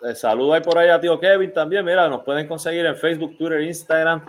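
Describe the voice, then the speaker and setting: A man's voice: on the high side, fast talker. A man in his forties hosting a sports podcast, chatting in a friendly, teasing way. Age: 40s. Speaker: podcast host. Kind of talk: casual sports chat.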